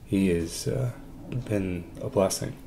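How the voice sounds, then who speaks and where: A man in his forties speaking haltingly and reflectively in a documentary interview. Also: low-pitched, creaky, mellow, slight background noise. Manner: halting, hesitant delivery with long pauses. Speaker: adoptive parent, interviewee. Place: room at home, indoors.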